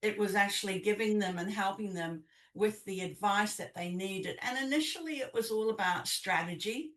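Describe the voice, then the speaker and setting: A woman's voice: mid-range voice, normal speed, a bit bright. An older woman with a New Zealand accent talking conversationally as an interview guest about her own work. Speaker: podcast guest, business coach. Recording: echoey room, distant mic.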